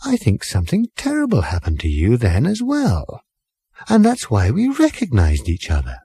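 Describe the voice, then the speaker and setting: A man's voice: mid-range voice, huge pitch swings, moderate pace, warm tone, husky, no echo, exaggerated voice. A man voicing an earnest, troubled young woman who explains things with urgent emphasis. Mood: exasperated, earnest.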